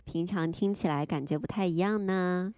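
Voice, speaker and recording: A woman's voice: on the low side, moderate pace, darkish tone, no echo, studio quality. A woman co-hosting a casual podcast, conversational and openly enthusiastic in the way she talks. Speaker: podcast co-host. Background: music behind the voice.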